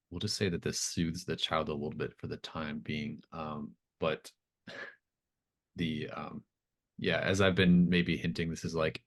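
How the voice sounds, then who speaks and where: A man improvising narration as game master of a tabletop horror game, phrasing things haltingly as he thinks aloud. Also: deep voice.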